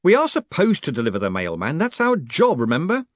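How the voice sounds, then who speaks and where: Man acting postmaster, brisk, sardonic, snappy, commanding, very animated pitch, audiobook character. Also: dry recording, muffled recording. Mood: exasperated. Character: brisk, exasperated, smooth-talking male postmaster.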